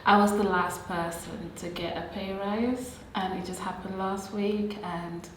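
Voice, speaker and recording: A woman's voice: mid-range voice, fairly even intonation, a little slow. A woman from Gambia, raised in England, with an English accent, chatting casually as a panel guest. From born in Gambia, raised in England. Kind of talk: casual group chat. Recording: very echoey, loud background noise.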